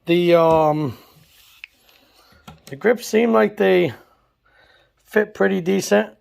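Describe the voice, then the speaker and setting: Hoarse gravelly-voiced man with a drawl. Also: high voice, takes it slowly. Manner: relaxed, folksy, with many fillers. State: hoarse from a cold. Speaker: channel host. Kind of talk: casual product review vlog.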